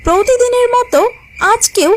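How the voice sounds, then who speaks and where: High, lively woman narrator. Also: moderate pace, a little hiss or hum. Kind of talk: scripted children's cartoon story narration.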